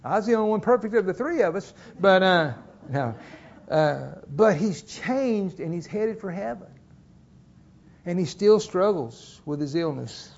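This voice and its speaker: High-voiced preacher, folksy. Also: male voice, lively intonation, measured pace, creaky, a little mellow, lo-fi sound. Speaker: pastor. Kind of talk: sermon. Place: church.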